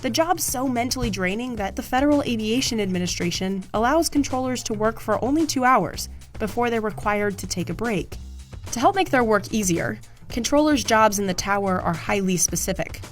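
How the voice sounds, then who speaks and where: A woman's voice: on the high side, expressive, brisk. A woman reading scripted documentary narration in an explanatory manner. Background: background music.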